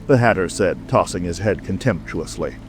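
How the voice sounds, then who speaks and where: Low-voiced man narrating a story. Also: expressive, normal speed. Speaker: audiobook narrator. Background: music.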